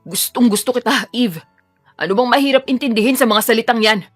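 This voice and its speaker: A woman voicing a menacing, obsessive male attacker in an audio drama, taunting, bitter and forceful. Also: on the high side, fairly quick, faint music behind, close to an everyday voice. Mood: pleading, bitter.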